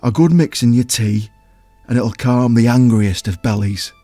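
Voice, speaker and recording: An actor playing a huge, simple, childlike potion brewer in an audio drama, with rustic folksy speech and a halting, earnest, wounded manner. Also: male voice, medium pitch, dark tone, no echo, faint music behind, natural voice. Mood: quietly proud. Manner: halting, plain-spoken, earnest. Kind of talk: audio drama character acting.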